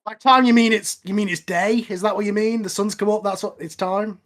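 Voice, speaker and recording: A man's voice: high voice, steady tone, fairly quick, a bit bright. A man chatting casually in a film commentary, with a wry, nitpicking way of talking. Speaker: commentary track participant.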